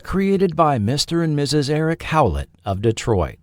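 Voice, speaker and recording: A man reading a scripted documentary narration as a podcast narrator, in a measured, dramatic storytelling manner. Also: on the high side, big pitch swings, darkish tone, no echo, studio quality.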